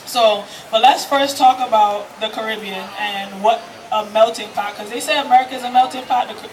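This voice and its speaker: A woman's voice: a little high, steady tone, a little fast. A woman from Trinidad and Tobago giving a conversational, explanatory talk to a live festival audience. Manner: conversational and explanatory, addresses the crowd. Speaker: festival presenter. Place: outdoor festival stage area. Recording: distant mic with noticeable background noise.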